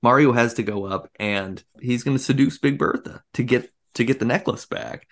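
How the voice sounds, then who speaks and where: A podcast co-host giving a casual movie recap, telling it as an animated story with plenty of filler words. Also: mid-range voice, moderate pace.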